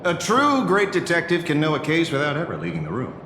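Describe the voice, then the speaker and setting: A man's voice: on the high side, huge pitch swings, a little fast, reverberant, in a large hall, background noise. An actor playing a sardonic, theatrical, down-on-his-luck detective in a video game. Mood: nonchalant.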